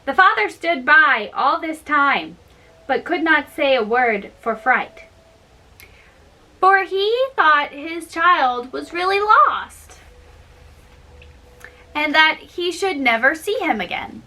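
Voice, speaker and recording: A woman storyteller reading fairytales aloud in a halting, deliberate way. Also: high voice, very animated, bright, forceful tone.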